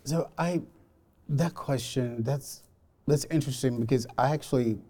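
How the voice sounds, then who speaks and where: A man from Alabama speaking as a discussion guest, explaining his views conversationally and in a measured way. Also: medium pitch, mellow. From Alabama, United States. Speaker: podcast guest.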